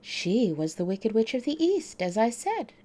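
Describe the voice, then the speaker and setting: Sweet little old storybook woman, played by a female narrator, calm and courteous, raised pitch, lively intonation. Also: unhurried. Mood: calm, explaining. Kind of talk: storybook character voice.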